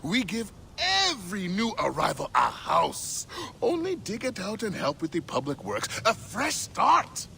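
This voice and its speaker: An actor playing a grandiose, zealous town builder of ancient Egypt in a video game, proclaiming in a theatrical, expansive way. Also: male voice, sits high, wildly swooping pitch, unhurried, gravelly, sharp tone, a little hiss or hum, heavily acted. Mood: effusive, welcoming. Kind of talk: video game drama acting.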